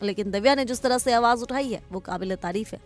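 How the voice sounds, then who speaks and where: A woman's voice: on the high side, quick pace. A woman presenting a scripted entertainment news report as a narrator. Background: background music.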